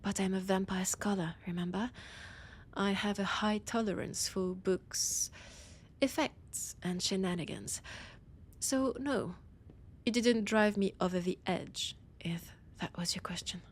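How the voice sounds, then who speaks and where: Casting: actress as female vampire scholar, French accent, unhurried and husky, condescending menace with teasing whispers, audio drama roleplay. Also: medium pitch. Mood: teasing, amused. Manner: slow, teasing, condescending, often dropping to a whisper. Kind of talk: scripted audio roleplay addressed to the listener.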